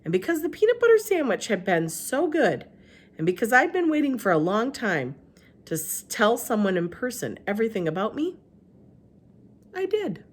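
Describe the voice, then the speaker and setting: Lively woman reading a storybook. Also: normal speed, husky. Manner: expressive, lively pitch. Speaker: teacher reading aloud. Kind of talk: storybook read-aloud narration.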